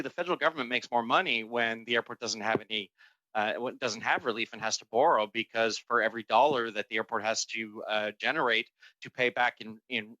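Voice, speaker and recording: A man giving spontaneous testimony as an industry representative, explaining in a halting way with repeated words. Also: mid-range voice, bright, forceful tone, dry recording, poor audio. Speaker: airport association representative.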